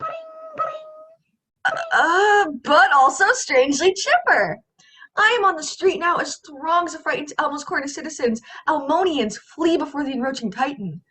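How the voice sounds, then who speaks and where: A woman's voice: high-pitched, very animated, sharp tone, full character voice. A teenage woman playing a flustered, awestruck radio reporter in a melodramatic student play over a video call. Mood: awestruck, alarmed. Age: teens. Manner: melodramatic, halting when flustered. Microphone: computer microphone on video call.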